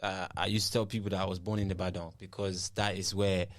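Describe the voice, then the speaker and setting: Low-voiced man, halting and dry. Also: level tone, no echo. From Nigeria. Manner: hesitant, many fillers and restarts. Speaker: musician guest. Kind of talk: casual interview.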